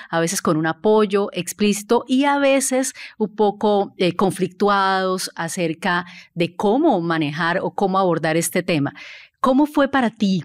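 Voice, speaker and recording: A woman journalist asking interview questions in a measured, deliberate way. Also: medium pitch, no echo. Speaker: journalist and co-host.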